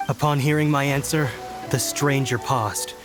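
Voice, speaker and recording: An actor playing a solemn samurai recounting a grave secret in a video game, deliberate and formal. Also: male voice, mid-range voice, steady tone, lightly acted. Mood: solemn, haunted. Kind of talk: dramatic narrated letter, video game. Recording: music clearly behind the voice.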